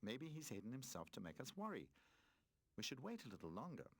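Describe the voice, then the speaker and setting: A narrator voicing a gentle, caring cushion toy who keeps the peace. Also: very animated, brisk, no room sound. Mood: calm. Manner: soothing, lower.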